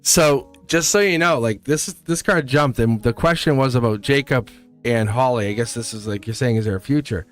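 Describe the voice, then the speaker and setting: A man's voice: mid-range voice, moderate pace, soft background music. A young man doing a casual, upbeat live tarot reading, talking to viewers in a chatty way and laughing often. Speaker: tarot reader.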